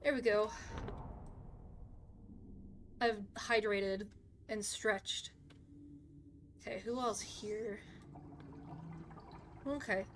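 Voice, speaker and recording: Excitable cursing woman streaming a game. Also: mid-range voice, slow, long pauses, slight background noise. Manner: swears a lot, excitable outbursts, talks to chat. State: a bit hoarse. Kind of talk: live gaming stream commentary.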